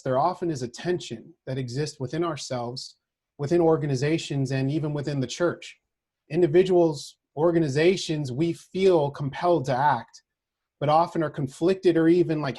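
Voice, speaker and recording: A man speaking as a social work professor, giving a prepared lecture in an earnest, deliberate manner, often checking in with a short tag question. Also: a little high, a little mellow, husky.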